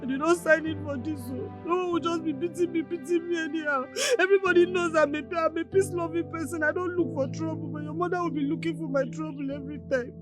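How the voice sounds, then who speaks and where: A woman's voice: high voice, fairly quick, over music, natural voice. A woman with a Nigerian accent acting an aggrieved, tearful young bride in a film drama. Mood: indignant, tearful.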